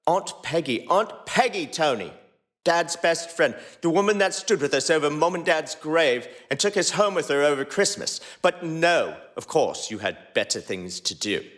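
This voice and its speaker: Guy reading fanfic lines, lots of pitch swings, bit high, soft music under it. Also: animated, moderate pace. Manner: voices several characters by shifting pitch and pace. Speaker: podcast co-host reading fanfiction. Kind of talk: dramatic reading of fan fiction dialogue.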